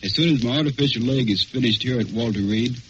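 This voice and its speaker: American army veteran on dull 1940s radio. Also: male voice, medium pitch, fast, a little hiss or hum. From Louisville, Kentucky, United States. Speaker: army tank officer, interviewed veteran. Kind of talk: scripted 1940s radio interview, recounting combat.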